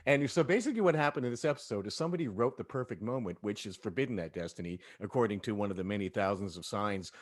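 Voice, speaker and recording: A man's voice: on the low side, lively intonation, quick pace, hardly any pauses. A man chatting casually and expressively as he leads a group discussion among performers. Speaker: audio drama cast member leading a cast discussion.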